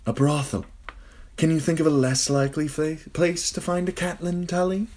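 A man's voice: on the low side, expressive, moderate pace, breathy edge. A man voicing a sly, glib male nobleman who teases in a sardonic way. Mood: mocking. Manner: teasing, sardonic.

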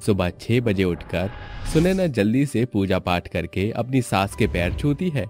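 Male story narrator, lowish lively voice, music underneath. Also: measured pace.

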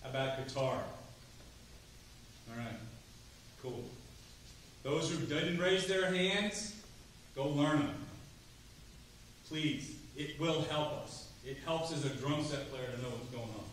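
A middle-aged man giving a drum clinic to students, chatty and lively, asking the room questions. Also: on the high side, slow, pauses often. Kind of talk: percussion clinic talk to students. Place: large rehearsal hall, standing before seated students. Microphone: far camera, no mic. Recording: roomy hall from far off, faint noise.

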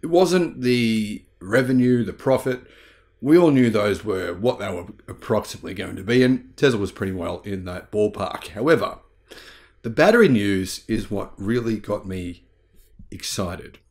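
Male YouTube host, forties, lowish voice, talks news to camera with conversational emphasis. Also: lively intonation, normal speed, a little mellow. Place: small home room, indoors.

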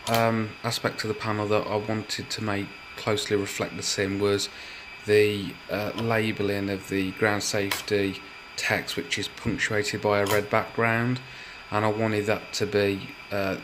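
Lowish guy talking through his diy project step by step, bit of background noise. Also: fairly even intonation, a little slow. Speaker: hobbyist builder presenting his project. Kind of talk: explanatory build walkthrough.

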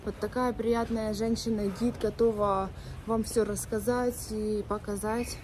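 A woman's voice: mid-range voice, level tone, unhurried, a little mellow. A woman co-hosting a casual travel vlog, eagerly chiming in and agreeing as they walk. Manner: enthusiastic, chimes in with agreement. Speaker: vlogger. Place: outdoors on a city street. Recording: street noise behind.

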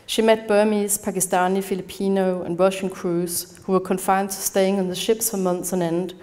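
A woman from Denmark with a Danish accent, giving an academic conference talk in a measured manner, partly reading from a prepared text. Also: medium pitch, flat delivery, a little mellow. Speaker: conference speaker. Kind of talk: academic lecture. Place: large darkened lecture hall.

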